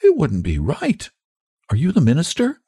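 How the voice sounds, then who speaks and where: A man's voice: fairly high pitch, huge pitch swings, moderate pace, warm tone, husky, no echo, studio quality, pitched above the performer's own voice, heavily acted. A man voicing an innocent, earnest and polite little girl in a storybook, guileless and sweetly matter-of-fact. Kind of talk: storybook character voice.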